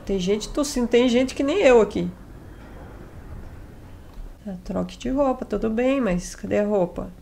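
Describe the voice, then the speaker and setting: A young woman hosting a gaming channel, chatting casually through a let's play on a headset mic, still a little hoarse from a cold. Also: on the high side, measured pace, pauses often, dark tone, a little hiss or hum. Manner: chatty, jokey asides to the game characters. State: recovering from a cold, slightly hoarse.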